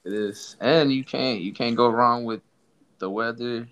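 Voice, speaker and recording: A man's voice: mid-range voice, fairly even intonation, measured pace, a bit bright. A young man from Somalia hosting a casual podcast, loose and joking with his co-host.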